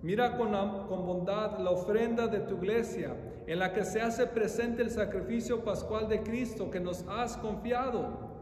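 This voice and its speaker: Male priest saying mass, solemn and formal, echoey church, bit of background noise. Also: darkish tone. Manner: measured, formal ritual phrasing. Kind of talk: solemn liturgical prayer recitation. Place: large reverberant church interior. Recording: echoey church hall, faint low rumble.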